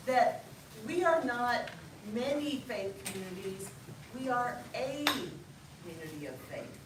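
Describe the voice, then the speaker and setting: A woman directing an interfaith alliance, addressing a gathering in a meeting room in a measured, formal way. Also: medium pitch. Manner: measured, formal welcoming speech. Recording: echoey room from a distance, noisy.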